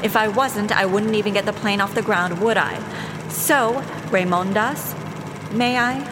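A woman's voice: mid-range voice, a little slow, big-room sound, background noise, lightly acted. An actress playing a cocky, self-assured American woman pilot in an audio drama, breezy and assertive, with an American accent. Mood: cocky, sarcastic.

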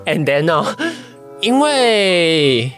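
A man's voice: a little high, very animated, extremely slow, fairly bright tone, soft background music. A young adult man with a Taiwanese accent, chatting casually as a podcast guest. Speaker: podcast guest, trainee voice actor. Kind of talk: casual chat.

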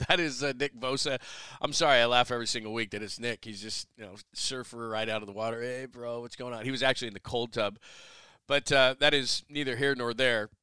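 A man hosting a sports podcast, slightly hoarse, firing off quick opinions with self-mocking asides. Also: medium pitch, steady tone, pushed and bright, dry recording. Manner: brash, rapid-fire opinions with self-deprecating asides. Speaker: sports radio host. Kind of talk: solo sports podcast commentary.

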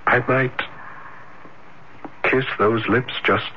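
An actor playing a shy, ardent young man in an old-time radio drama, speaking in earnest, halting, impassioned declarations. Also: medium pitch, steady tone. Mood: ardent longing. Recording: dull, noisy vintage radio broadcast.

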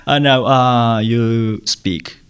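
A man's voice: mid-range voice, steady tone, extremely slow, a bit bright, smooth. A man hosting an English learning show, interviewing a guest in English with frequent short encouraging backchannels. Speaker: podcast host and interviewer. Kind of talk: casual interview.

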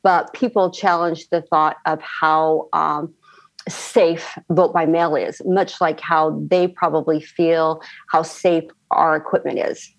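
A woman's voice: deep voice, level tone, slow pace, no echo, dull audio. A middle-aged woman from the American Midwest speaking plainly and earnestly as an interview guest. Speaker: former election official, interview guest. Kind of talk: conversational interview.

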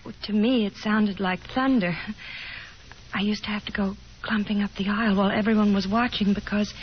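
An actress playing a shy, fragile, self-conscious young woman in a 1950s radio drama, timid and halting. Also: mid-range voice, steady tone, lightly acted. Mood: shy, wistful. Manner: timid, halting, soft-hearted. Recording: muffled, noisy 1950s radio broadcast.

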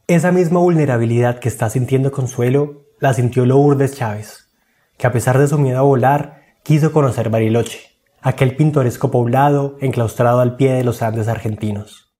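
A man's voice: medium pitch, normal speed, dark tone. A man narrating a scripted documentary as its host, in a dramatic, literary storytelling manner.

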